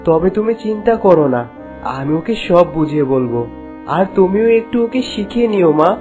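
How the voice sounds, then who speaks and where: A man's voice: high-pitched, lively intonation, fairly quick, warm tone, faint music behind. A man voicing an earnest, troubled young prince in a cartoon, speaking politely. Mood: earnest, concerned. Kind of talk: cartoon voice acting.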